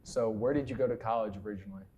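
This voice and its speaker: A man's voice: on the low side, rapid-fire, a little mellow, slight background noise. A man hosting a morning show, interviewing a guest in a friendly, easygoing way. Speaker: TV show host.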